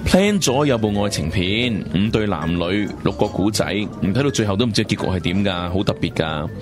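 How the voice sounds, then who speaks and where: A man with a Hong Kong Cantonese accent, playing an eager film producer pitching his projects. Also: lively intonation, fairly quick, close to an everyday voice. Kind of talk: Cantonese web radio drama. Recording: music under the voice.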